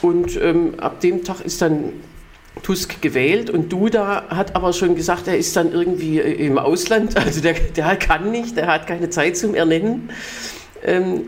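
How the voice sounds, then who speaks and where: A man's voice: sits high, measured pace. Male podcast co-host, talky explainer, lots of ums and restarts. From Germany. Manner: explains at length with many fillers and restarts. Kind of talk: casual political chat.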